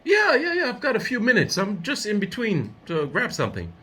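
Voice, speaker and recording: A man's voice: high-pitched, huge pitch swings, a little fast, bright, forceful tone, slightly rough, pitched above the performer's own voice, full character voice. Laid-back Jamaican buyer, friendly, man acting it out. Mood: easygoing.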